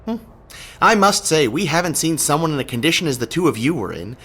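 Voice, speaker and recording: A man's voice: on the high side, sing-song, fairly quick, sharp tone, husky, full character voice. An actor playing a formal, puzzled, politely inquisitive male hospital nurse in a radio drama. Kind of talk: radio horror drama acting.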